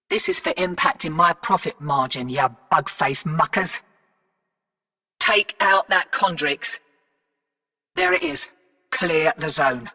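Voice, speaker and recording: An actress playing a brash, sardonic British mercenary who gives cheeky, slangy, commanding mission orders in a video game, with distant, reverberant processing. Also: female voice, lowish voice, vocal fry, pushed and bright, full character voice. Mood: gruff, combative.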